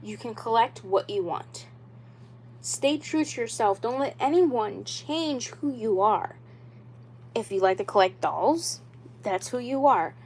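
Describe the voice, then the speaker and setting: A woman's voice: fairly high pitch, lively intonation, slow, darkish tone, breathy edge. Rambling young woman. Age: 20s. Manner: rambling and repetitive, with many "like" and "you know" fillers; earnest and insistent. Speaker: doll YouTuber. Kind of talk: casual livestream talk.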